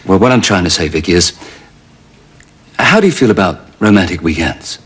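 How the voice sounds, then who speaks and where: A man's voice: deep voice, lively intonation, a little fast, a bit bright, husky, heavily acted. A man in a sitcom role who talks hesitantly, stumbling and stammering over his words. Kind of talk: sitcom acting.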